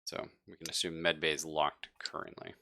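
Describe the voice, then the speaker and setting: Deep-voiced male game master, bright edge. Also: little pitch movement, brisk. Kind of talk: improvised tabletop game narration.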